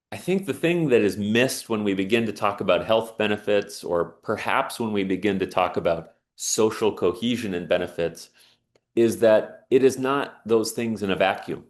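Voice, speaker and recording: A man giving an earnest, emphatic inspirational talk and telling a personal story. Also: lowish voice. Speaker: speaker on sauna and resilience.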